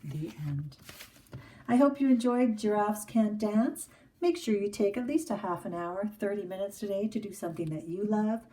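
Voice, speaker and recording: A woman teacher reading a picture book aloud to children in an expressive storybook manner. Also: medium pitch, moderate pace, far from the mic, rough recording.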